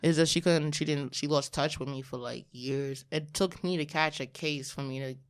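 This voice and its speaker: A young woman in her twenties, a podcast guest telling her story in a candid, casual and blunt way, in a small studio at a boom mic. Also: normal speed. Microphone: studio mic on a boom arm.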